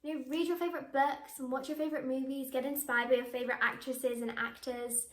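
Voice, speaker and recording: A teenage girl talks about her acting work, bubbly and eager. Her voice sits high. The room around her adds a noticeable echo. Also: level tone, a little fast. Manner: bubbly, enthusiastic, with frequent laughs. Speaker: young actress. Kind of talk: answering interview questions to camera. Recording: echoey room.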